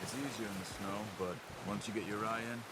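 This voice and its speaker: A man playing a calm, stoic outlaw hunter in a western video game, speaking tersely and quietly as he instructs. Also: lowish voice, a little fast, heavy background noise, natural voice. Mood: hushed, focused.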